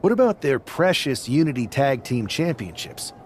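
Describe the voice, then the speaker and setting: A man's voice: mid-range voice, big pitch swings, normal speed, a little mellow, slight background noise, natural voice. An actor playing a scheming, dismissive male wrestler in a video game.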